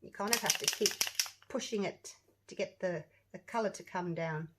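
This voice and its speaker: Croaky-voiced woman, casual tutorial host, chatty and rambling. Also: on the low side, moderate pace, heavy background noise. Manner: chatty, rambling, talks to viewers while working. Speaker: resin craft channel host.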